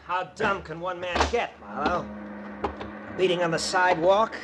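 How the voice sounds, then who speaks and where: An actor playing a hot-headed, bullying young tough with a clipped, sneering delivery in a radio crime drama. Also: male voice, sits high, normal speed, close to an everyday voice. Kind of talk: old-time radio crime drama acting. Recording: noisy old radio broadcast recording.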